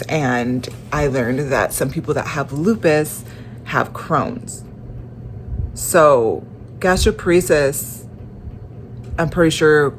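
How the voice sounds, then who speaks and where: A young woman with a stuffy, congested voice, talking in a casual personal vlog, halting and tired, with many restarts and fillers. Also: low-pitched, a little hiss or hum. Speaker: vlogger.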